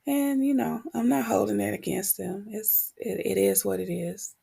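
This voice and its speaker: A woman podcast host talking reflectively and candidly on her own, her voice husky and prone to cracking as she gets over a cold. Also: medium pitch, steady tone, dark tone. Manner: candid, unhurried, pausing between short phrases. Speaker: podcast host and motivational coach. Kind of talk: reflective solo podcast talk.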